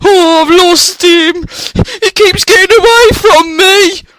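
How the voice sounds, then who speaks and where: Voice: high-pitched, a little slow, pushed and bright, dry recording, voice pushed up. A reader voicing a tearful, anxious young boy who wails, in a storybook reading. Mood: wailing. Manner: wailing, miserable.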